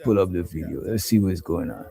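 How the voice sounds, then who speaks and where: A man's voice: low-pitched, a little fast, vocal fry, heavy background noise. A man hosting a live online stream, talking loosely and drawling to his chat while he plays clips. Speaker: livestream host. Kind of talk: casual stream commentary.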